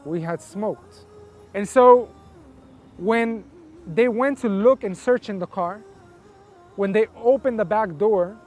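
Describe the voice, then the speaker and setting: High-voiced man telling his life story. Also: slow, long pauses, a little mellow, faint music behind. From Colombia, raised in Houston, Texas from age eight. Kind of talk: personal testimony.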